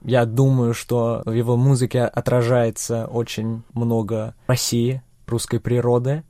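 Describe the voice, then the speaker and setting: A young man who grew up in Czechia answers interview questions in a measured, hesitant way with many fillers. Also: medium pitch, little pitch movement, dark tone, no room sound, clean studio sound. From Czech Republic. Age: young adult.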